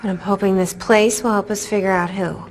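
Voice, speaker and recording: A woman's voice: mid-range voice, steady tone, brisk, noisy background, natural voice. An actress playing a tough, cautious and skeptical American woman, perhaps in her thirties, in a naturalistic video game style. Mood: troubled, puzzled.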